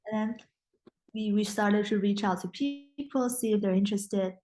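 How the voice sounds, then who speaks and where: A woman's voice: medium pitch, moderate pace, dark tone, rough recording. Hesitant young woman, Mandarin native speaker. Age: young adult. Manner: hesitant, many restarts and fillers. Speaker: guest. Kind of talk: casual interview.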